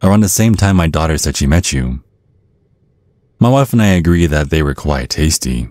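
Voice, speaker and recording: Very deep menacing vampire father, acted by a man. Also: expressive, normal speed, a little mellow, no echo. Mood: veiled threat. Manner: slyly threatening, condescending, mock-polite. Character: menacing, genteel centuries-old vampire father sizing up suitor. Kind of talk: ASMR roleplay, scripted monologue.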